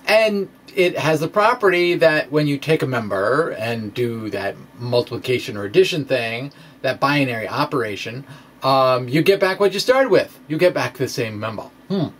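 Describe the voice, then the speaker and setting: A man presenting his own science blog aloud in an informal, explanatory way, with a halting, theatrical, emphatic delivery. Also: mid-range voice. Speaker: science video blogger.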